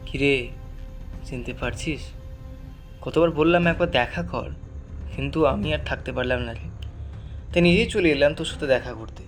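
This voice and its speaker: A man's voice: mid-range voice, normal speed, pauses often, faint music behind, close to an everyday voice. A man playing an ailing young man in an audio drama, an old friend speaking intimately and plaintively in a cracked voice. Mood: reproachful, emotional. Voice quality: broken, cracked voice.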